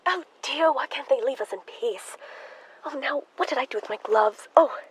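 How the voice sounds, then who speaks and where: Fluttery, high-voiced Miss Emma, a fretful spinster, acted by a woman. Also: normal speed, lightly acted, poor audio. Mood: flustered, anxious. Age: young adult. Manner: flustered, fluttery, easily agitated. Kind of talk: stage play acting in an audio drama.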